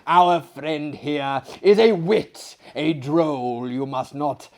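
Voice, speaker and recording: A man voicing an effusive, flustered French gentleman host in a storybook, stammering and repeating his words. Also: a little high, takes it slowly. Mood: flustered mirth.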